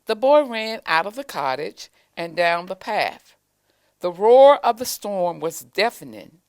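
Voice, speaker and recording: Slow, creaky woman reading a storybook. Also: fairly low pitch. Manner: deliberate, expressive phrasing with pauses to show pictures. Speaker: school staff member reading aloud to children. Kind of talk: children's storybook read-aloud.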